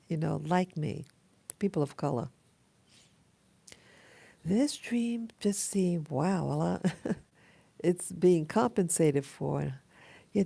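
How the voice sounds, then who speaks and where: A woman in her late fifties with an American accent, speaking haltingly and reflectively in a casual personal vlog, her voice hoarse from having just woken up. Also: on the low side, mellow, no echo. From Queens, New York City, USA. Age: 50s, almost sixty. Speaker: vlogger. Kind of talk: casual personal dream journal.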